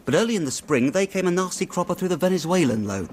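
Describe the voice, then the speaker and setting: An actor playing an earnest, worried young city clerk in a radio drama, chatty and slangy but deferential. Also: male voice, high voice, lively intonation, quick pace, slight background noise. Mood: rueful. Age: young adult.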